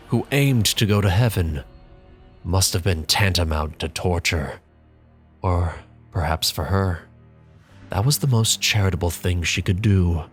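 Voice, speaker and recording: Deep-voiced man reading a bitter monologue. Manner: measured, bitter and reflective. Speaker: reader. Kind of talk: dramatic first-person reading.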